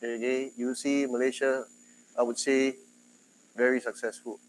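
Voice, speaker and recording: A man from Singapore with a Singaporean English accent, giving a university lecture in a chatty, animated way. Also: mid-range voice, measured pace, slight background noise, poor audio. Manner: chatty, animated, quotes others in dialogue.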